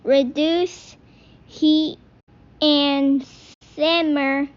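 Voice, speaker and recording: A woman voicing a patient but exasperated rabbit instructor from a picture book, speaking in deliberate, halting steps. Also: sits high, flat delivery, lo-fi sound. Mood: instructive.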